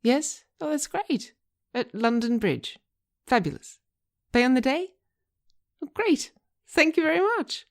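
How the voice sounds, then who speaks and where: A woman's voice: high voice, expressive, unhurried, pauses often, pitched above the performer's own voice. A woman playing an eager, polite actress who gushes in short phrases, in a scripted audio drama. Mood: eager, delighted. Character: eager, chirpy actress angling for work.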